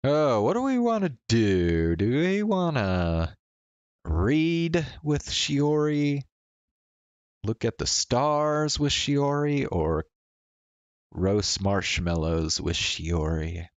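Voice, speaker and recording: A man's voice: mid-range voice, big pitch swings, very slow, no room sound. A man streaming a visual novel, mixing read-aloud narration with casual commentary on the game.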